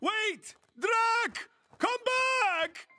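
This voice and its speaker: A man's voice: very high-pitched, very slow, bright, forceful tone, heavily acted. A man voicing a protective, fussy but loving vampire father in a cartoon, formal and melodramatic in his delivery. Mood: alarmed. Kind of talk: animated cartoon acting.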